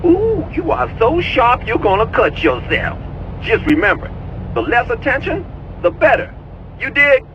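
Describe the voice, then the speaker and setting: A man's voice: extremely high pitch, unhurried, muffled recording, slight background noise, full character voice. An actor playing a cocky, slangy young American tech support guy in a video game cutscene. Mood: teasing. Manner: slangy, animated, excitable.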